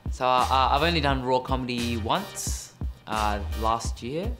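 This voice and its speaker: A man's voice: mid-range voice, measured pace, over music. Young man, hesitant interview chat. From Perth, Western Australia. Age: young adult. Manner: relaxed, hesitant with fillers in interview. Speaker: stand-up comedian. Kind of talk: interview and stand-up set. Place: indoor venue hallway.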